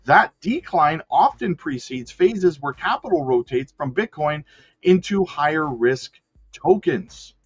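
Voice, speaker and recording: A man's voice: a little high, animated, a little slow, slightly rough, dull audio. A man hosting a livestream, reading market news and chatting to viewers, losing his voice and peppering his talk with "right?" and "guys". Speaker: crypto livestream host. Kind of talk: livestream commentary mixing read news and chat.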